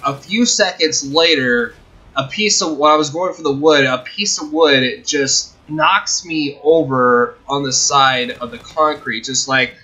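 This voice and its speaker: Bright-voiced young man, rambling storyteller. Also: mid-range voice, poor audio. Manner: rambling, halting, self-correcting. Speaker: video host. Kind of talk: casual personal storytelling.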